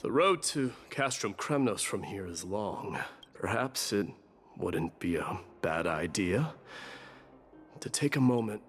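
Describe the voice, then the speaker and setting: Low, solemn warrior, music behind. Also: male voice, expressive, a bit bright. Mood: probing. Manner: measured, grave, formal diction. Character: solemn, stern, scholarly male warrior with blunt wisdom. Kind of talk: video game voice acting, dramatic dialogue. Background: background music.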